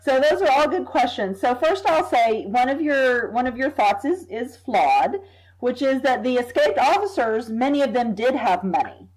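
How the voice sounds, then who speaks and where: Measured woman historian explaining. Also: high-pitched, expressive, a little mellow, rough recording. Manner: measured, thoughtful, repeats words while thinking. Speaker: history professor, guest. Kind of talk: expert explaining in an interview.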